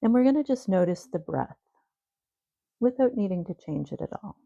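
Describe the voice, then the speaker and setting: A woman leading a guided sleep meditation, giving gentle, soothing instructions. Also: medium pitch, lively intonation, moderate pace, creaky, no echo, highs cut off.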